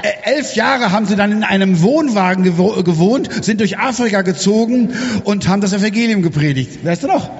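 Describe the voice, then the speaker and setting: Hesitant church interpreter with a high voice. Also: male voice, expressive, brisk, hardly any pauses. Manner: hesitant, stumbling restarts while paraphrasing. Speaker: sermon interpreter. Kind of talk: live consecutive interpreting. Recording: muffled, echoey hall.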